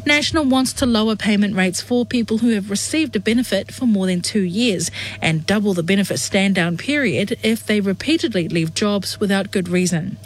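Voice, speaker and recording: A woman reading a radio news bulletin in a steady, clear newsreader delivery. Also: medium pitch, low-quality recording. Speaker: news presenter.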